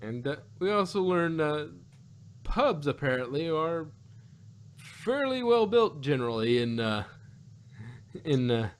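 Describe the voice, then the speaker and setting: Man chatting in a halting, rambling way. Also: on the high side, sing-song. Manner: halting, rambling, repeats words. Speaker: podcast co-host reviewing audio dramas. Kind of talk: casual review chat.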